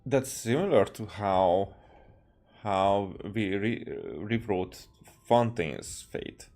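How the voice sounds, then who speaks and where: A man giving casual commentary as a game streamer, musing in a halting way. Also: fairly low pitch, a little mellow. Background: faint game music.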